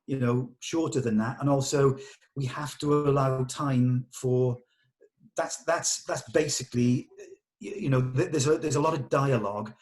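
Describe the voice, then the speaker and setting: A man speaks as the director of a script table read. He gives notes diplomatically, explaining his reasoning in a measured way. His delivery is that of working conversation with actors and a writer. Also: medium pitch, a little mellow.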